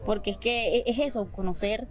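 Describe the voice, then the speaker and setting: A woman's voice: medium pitch, fairly even intonation, fast talker. Female guest, hesitant speech, lo-fi recording with a crowd audible. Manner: hesitant, restarts phrases. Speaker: interview guest. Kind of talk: casual interview chat.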